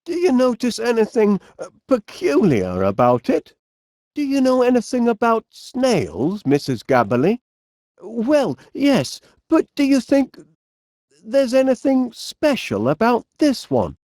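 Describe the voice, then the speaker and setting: A genial, bumbling middle-aged English inventor for a comedy video game. He has a Northern English accent and takes his time over his words, with pitch that swoops about. He is eager yet easily flustered and hesitant, recorded in a very dry studio sound. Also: huge pitch swings, measured pace, darkish tone, no echo, exaggerated voice. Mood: genial, earnest. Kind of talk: animated comedy game voice acting.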